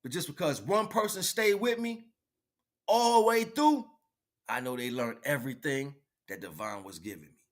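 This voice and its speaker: A man's voice: a little high, animated, moderate pace. A man giving an improvised spiritual, motivational livestream talk in an emphatic, preachy way, repeating phrases for effect.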